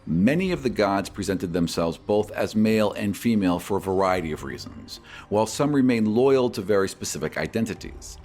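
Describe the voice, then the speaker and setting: Male podcast host, fairly low and very expressive, reading mailbag questions and prepared explanations over soft background music. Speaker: podcast host and game master answering fan questions. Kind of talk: reading fan questions and scripted answers.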